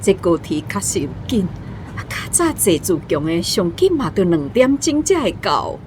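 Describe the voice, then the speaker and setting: A woman's voice: on the low side, very animated, normal speed, noisy background. A woman with a Taiwanese accent playing a practical, chatty wife in casual radio drama dialogue.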